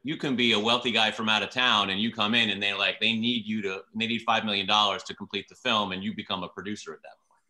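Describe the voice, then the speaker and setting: Bright-voiced man in his fifties telling stories. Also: lowish voice, fairly even intonation, fast, poor audio. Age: 50s. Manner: storytelling, explains with examples. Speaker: TV producer guest. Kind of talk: casual explaining on a video call. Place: living room at home.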